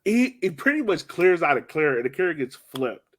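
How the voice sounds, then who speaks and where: A man's voice: fairly high pitch, sing-song, moderate pace, fairly bright tone. A man co-hosting a soccer podcast, talking through a match in an informal, slangy way full of "like". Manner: informal, slangy, filler-heavy with frequent "like". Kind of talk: casual chat, sports match recap.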